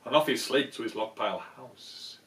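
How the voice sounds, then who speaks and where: A man's voice: medium pitch, normal speed, fairly bright tone. An older man reading a children's story aloud expressively, recorded indoors a little away from the microphone. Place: small room indoors full of soft toys. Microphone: camera a short distance away. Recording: roomy, distant microphone.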